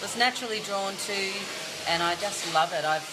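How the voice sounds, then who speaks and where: A woman's voice: medium pitch, moderate pace, heavy background noise. A woman with an Australian accent, a singer-songwriter chatting casually in an interview, upbeat and full of fillers and restarts. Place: backstage at a music venue.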